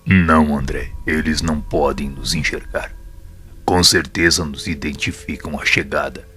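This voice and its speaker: Very deep, bright-edged Brazilian spirit mentor, acted by a man. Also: steady tone, unhurried, slightly rough, heavily acted. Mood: patient, firm. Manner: formal, instructive, assured. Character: calm authoritative male spirit mentor explaining patiently. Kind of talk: audio drama dialogue. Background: faint music.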